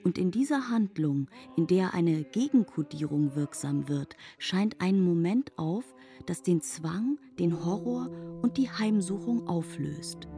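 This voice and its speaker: A woman's voice: lowish voice, animated, a little slow, hardly any pauses, dark tone. A woman reading scripted, essayistic radio narration. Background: music.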